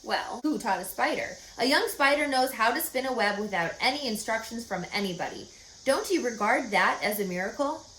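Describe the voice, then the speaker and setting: A woman voicing a calm, thoughtful old male country doctor in a storybook, musing and reassuring in an unhurried way. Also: on the high side. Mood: gently challenging. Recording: echoey room, faint background noise.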